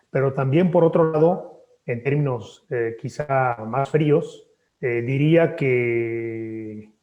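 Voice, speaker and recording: A man, a poet and native Spanish speaker, speaking thoughtfully at a book presentation with measured hesitations. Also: medium pitch, darkish tone, lo-fi sound. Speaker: poet and author. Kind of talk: reflective talk at a book presentation.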